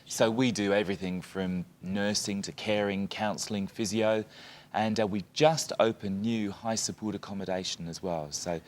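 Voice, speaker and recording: A man's voice: lowish voice, steady tone, moderate pace. A middle-aged man, a charity chief executive, talking as an interview guest in an upbeat, earnest way.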